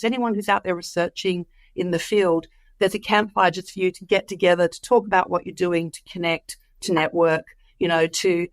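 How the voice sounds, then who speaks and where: A woman's voice: medium pitch, dry recording. A woman, recovering from the flu so her voice comes and goes, chatting enthusiastically as a guest about a conference. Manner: enthusiastic, rambling with many fillers. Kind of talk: casual interview chat.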